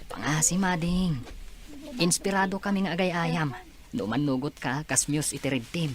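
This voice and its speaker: Low-pitched, coaxing schoolmate voiced by a woman. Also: moderate pace, crowd noise, natural voice. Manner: coaxing, earnest. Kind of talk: Ilocano audio drama acting.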